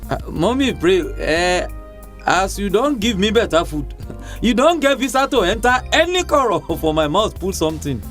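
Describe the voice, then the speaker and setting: A man's voice: high voice, very animated, normal speed, a bit bright, soft background music. An actor with a Nigerian accent playing a cheerful, idle young man in a radio drama, chatting in Pidgin and chuckling often. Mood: playful.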